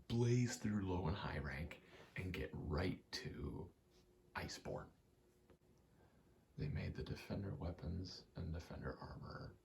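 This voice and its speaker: Deep, gravelly soft-spoken man rambling. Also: a little hiss or hum. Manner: hesitant, rambling, self-deprecating. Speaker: video game ASMR creator.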